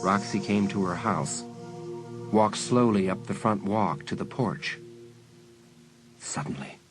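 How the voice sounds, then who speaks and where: A man narrating a suspense audiobook in a measured, dramatic storytelling manner. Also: fairly low pitch, over music.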